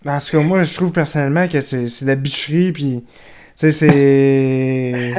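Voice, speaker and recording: A man with a Québécois accent hosts a casual podcast interview. His voice is smooth and resonant, sitting at a middle pitch. He chats easily, drawing out his pauses as he searches for words. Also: steady tone, a little mellow. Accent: Québécois French. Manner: chatty, drawn-out hesitations. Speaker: podcast host.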